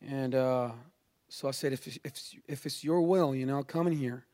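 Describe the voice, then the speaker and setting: A man from Chile, a native Spanish speaker with a Chilean accent, tells a personal religious testimony in a halting, informal way. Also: medium pitch, a little mellow. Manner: halting, informal, peppers talk with "you know" and "man".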